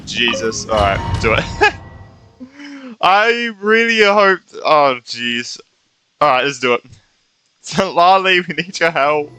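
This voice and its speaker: A young man streams a game with casual, informal commentary. His voice is bright and lively in pitch, reactive as he reads dialogue aloud, with faint game music behind him. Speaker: gaming streamer.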